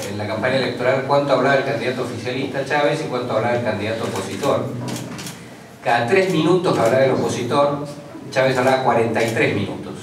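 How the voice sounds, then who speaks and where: A man answering at a press conference, speaking slowly and deliberately. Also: medium pitch. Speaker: opposition deputy. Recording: echoey hall, far from the mic, noisy.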